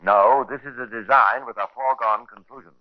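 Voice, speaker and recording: An actor playing a sardonic storyteller, narrating a 1950s radio crime drama in a deliberate, theatrical way. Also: male voice, mid-range voice, close to an everyday voice. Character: sardonic male storyteller relishing a grim murder tale. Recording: noisy, muffled 1950s radio recording.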